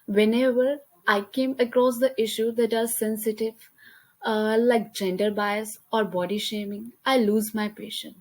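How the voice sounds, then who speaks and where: A young woman with an Indian accent, speaking English as her second language, presents a class talk over slides. Her voice sits a little high, and she reads carefully, restarting now and then on a word. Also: steady tone, measured pace. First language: Hindi. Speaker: student presenter. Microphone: computer mic, voice-over on slides.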